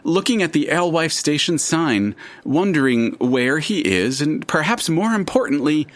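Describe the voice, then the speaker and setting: A man playing a chatty, curious, all-knowing narrator in an audio drama, with a dramatic and deliberate storytelling manner. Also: a little high, animated, natural voice. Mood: theatrical, wondering. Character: chatty omniscient male narrator, theatrical and curious.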